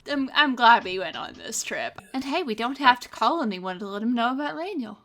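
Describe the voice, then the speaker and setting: Bright-voiced woman chatting on a podcast. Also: on the high side, very animated, a little fast. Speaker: podcast regular and player. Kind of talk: casual chat.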